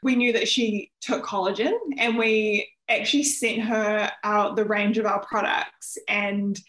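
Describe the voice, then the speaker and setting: A woman's voice: mid-range voice, level tone, unhurried, a bit bright. A young adult woman with a New Zealand accent, giving conversational answers as an interview guest in a roomy, echoey recording. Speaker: interview guest, nutritionist and company founder.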